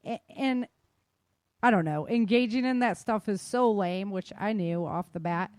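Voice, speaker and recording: A woman's voice: mid-range voice, normal speed, a little mellow, dry recording. Casual woman podcast host, close dry sound. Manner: informal, slangy, self-deprecating. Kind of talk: casual chat.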